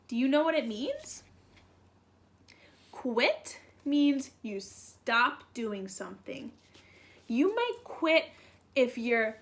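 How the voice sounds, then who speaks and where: A woman's voice: sits high, fairly bright tone. A woman talking to young children as she introduces and reads a picture book, in simple, question-led, child-directed speech. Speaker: children's read-aloud teacher.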